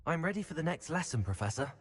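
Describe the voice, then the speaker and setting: Young student wizard in a game, polite n keen, bouncy intonation, big hall reverb. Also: male voice, a little high, sing-song, fast talker. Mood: polite, eager. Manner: courteous, earnest. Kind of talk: scripted video game dialogue.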